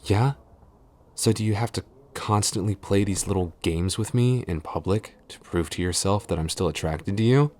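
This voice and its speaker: A man playing a shy, easily flustered tsundere boyfriend and office coworker in an intimate audio roleplay, with a halting, stammering delivery. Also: on the low side, a little mellow, close to an everyday voice. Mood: exasperated.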